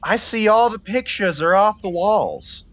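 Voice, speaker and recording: An earnest young suitor of the 1920s played by a man, genial and a little naive. His courteous, wooing speech is lively and swooping, recorded close and dry, in comic stage style. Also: high-pitched, a little slow, dry recording. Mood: puzzled, coaxing. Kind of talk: 1920s one-act stage comedy acting.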